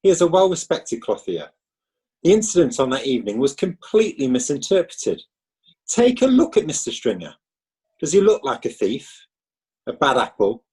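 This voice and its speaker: A smooth-talking defence lawyer, played by a man in a radio play, pleading with rhetorical flair. His voice is very husky and mellow, rising and falling with animated pitch. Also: normal speed. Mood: persuasive. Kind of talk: radio drama acting.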